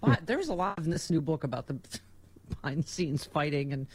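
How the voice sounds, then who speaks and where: A woman's voice: deep voice, lively intonation, normal speed, a little mellow, slight background noise. A middle-aged woman hosting a casual talk radio show, chatty and sarcastic, laughing often as she riffs with co-hosts and takes callers.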